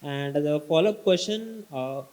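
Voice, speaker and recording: A man's voice: a little high, animated, unhurried, darkish tone. A man asking a polite, tentative question from the audience.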